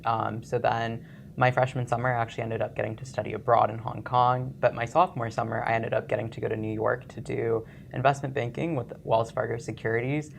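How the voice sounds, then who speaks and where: A young man from Texas, a senior business student describing his internships in a relaxed, conversational way. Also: mid-range voice, vocal fry, slight background noise. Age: young adult.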